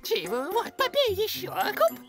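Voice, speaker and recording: An actor voicing a silly, excitable male crocodile henchman in a cartoon, with a goofy manner. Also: very high voice, unhurried, music in the background, full character voice. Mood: lovestruck. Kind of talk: cartoon dub acting.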